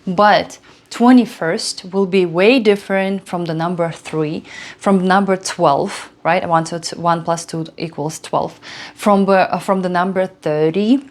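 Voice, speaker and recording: A woman's voice: fairly low pitch, steady tone, unhurried. A woman in her thirties from Belarus, a Russian speaker, explaining numerology in a chatty way full of fillers and restarts. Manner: chatty, many fillers and restarts, frequent "right?". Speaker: host, numerology coach. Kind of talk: casual explanatory talk.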